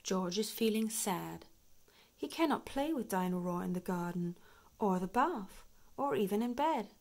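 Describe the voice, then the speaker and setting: Slow-paced woman reading a storybook. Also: mid-range voice. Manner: measured, animated storytelling. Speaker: storyteller reading a picture book aloud. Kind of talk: slow expressive read-aloud for young children.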